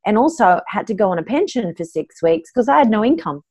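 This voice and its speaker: A woman's voice: medium pitch, very animated, fairly quick, darkish tone, slightly rough. A middle-aged woman with an Australian accent, a voice coach telling her own story openly and earnestly in an interview.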